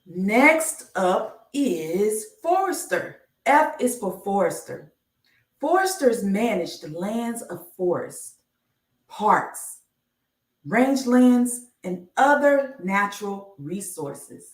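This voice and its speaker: A woman reading a children's picture book aloud on a live stream, enunciating deliberately like a teacher. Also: mid-range voice, sing-song. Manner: slow, deliberate enunciation with teacherly announcements of each letter. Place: indoor room, live stream. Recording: roomy, echoey, distant microphone.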